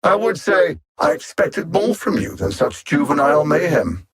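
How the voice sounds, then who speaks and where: A man playing an arrogant alien android conqueror in a video game, speaking with deliberate, theatrical condescension and cold intellect in a husky voice. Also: a little high, sing-song. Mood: haughty disdain. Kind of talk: video game villain voice lines.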